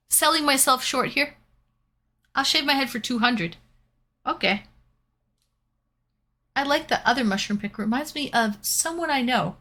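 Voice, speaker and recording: A woman's voice: on the high side, sharp tone. A young woman from Canada chatting casually with viewers while painting and reading out their comments. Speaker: streamer.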